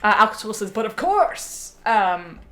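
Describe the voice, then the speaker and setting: A young woman chatting casually on a stream, reading out viewer messages and telling animated stories with fillers and giggles. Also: mid-range voice, unhurried. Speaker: stream co-host. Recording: faint music behind.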